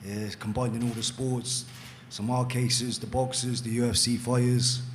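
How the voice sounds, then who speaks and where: A man's voice: medium pitch, flat delivery, normal speed, slight background noise. Man, boxer, press conference, upbeat, confident, level tone. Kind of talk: self-introduction at a press conference.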